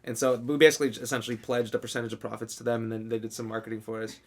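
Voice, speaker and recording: A man's voice: medium pitch, flat delivery. A man in his twenties, a podcast guest telling jokey stories in casual chat. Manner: fast, upbeat, jokey talker. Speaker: guest, company CEO.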